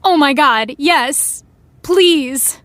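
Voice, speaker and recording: An actress playing an eager, excitable young fan in a naturalistic audio drama. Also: female voice, high-pitched, extremely slow, dry recording, full character voice. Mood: breathless, eager.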